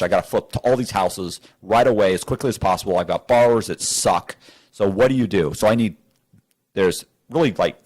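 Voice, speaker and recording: A man being interviewed, telling stories in a rambling, blunt way and acting out both sides of conversations. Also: on the low side. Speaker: interview guest. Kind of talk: animated storytelling with acted-out dialogue. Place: studio.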